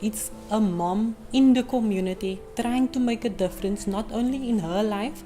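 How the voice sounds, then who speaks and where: Earnest South African woman, halting delivery. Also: mid-range voice. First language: Afrikaans. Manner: earnest, with frequent hesitant ums. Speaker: community maths tutor and mother. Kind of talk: unscripted testimonial. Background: music.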